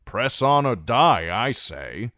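A man voicing a defiant, hot-blooded knight who speaks in loud, rousing declarations. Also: mid-range voice, sing-song, slow, no room sound, clean studio sound, voice pushed up, full character voice.

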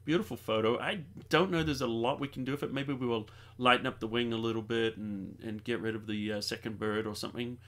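A chatty middle-aged man hosts a live tutorial stream, talking straight to his viewers in an upbeat, easygoing way. He sits at a desk in a home studio, close to a desk mic, and his voice sits at an ordinary middle pitch. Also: steady tone, fairly quick, hardly any pauses, fairly bright tone. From New Zealand. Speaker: host.

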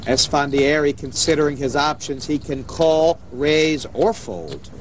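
A man's voice: on the high side, measured pace. A man doing play-by-play television commentary on a poker game. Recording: faint background noise, dated TV broadcast.

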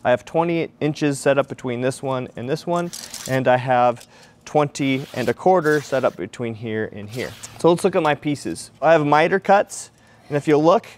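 A man's voice: medium pitch, normal speed, slight background noise. A man in his forties teaching metal fabrication in a large workshop, explaining each step plainly and often asking questions aloud. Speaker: welding and fabrication instructor. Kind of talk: shop demonstration with voice-over.

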